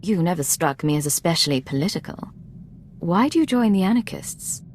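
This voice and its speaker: Female agent lead played by an actress, game dialogue: cool, measured, dry, on the lower side, slight background noise. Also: lively intonation, close to an everyday voice. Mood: composed. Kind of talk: video game protagonist dialogue acting.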